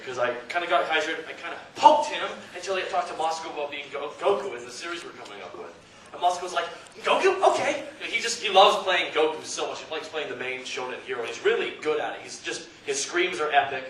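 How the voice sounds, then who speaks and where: A man on a convention panel telling stories in a casual, joking, rambling way. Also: fairly high pitch. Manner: animated, joking, rambling storytelling. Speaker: panelist and abridged-series voice actor. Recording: echoey hall, distant mic, noisy crowd. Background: audience noise.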